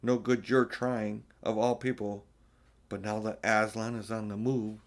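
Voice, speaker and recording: A man's voice: on the low side, little pitch movement, unhurried. A man voicing a male talking beaver in a storybook, an earnest, solemn woodland creature with a folksy, emphatic manner. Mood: gloomy. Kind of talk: storybook character voice.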